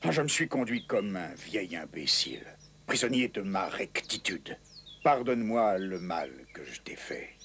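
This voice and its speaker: A man's voice: low voice, sing-song, a little slow, gravelly, a bit bright, breathy edge, exaggerated voice. An actor playing a proud old Saxon lord, stern but fatherly, with a grand, emphatic delivery in a French cartoon dub. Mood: remorseful.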